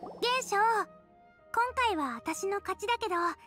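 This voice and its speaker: A woman's voice: very high voice, animated, a little slow, pushed and bright, soft background music, full character voice. A woman voicing a cheerful, earnest young girl chef in an anime-style game, friendly and encouraging. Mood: polite, eager. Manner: bubbly, encouraging. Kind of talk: Japanese anime game voice acting.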